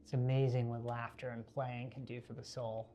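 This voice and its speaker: A lone survivor acted by a man chats to his own camera in found footage horror style. His mid-pitched voice is soft and mellow, easygoing with a weary, reflective streak. Faint noise sits in the amateur recording. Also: flat delivery, moderate pace, close to an everyday voice. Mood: relieved, reflective. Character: lone male survivor filming himself, weary but easygoing. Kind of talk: found footage horror monologue, casual vlog-like narration.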